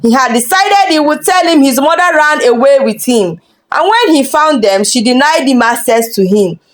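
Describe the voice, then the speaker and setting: A woman's voice: sits high, lively intonation, moderate pace. A woman narrating a scripted dramatic folktale as a storyteller.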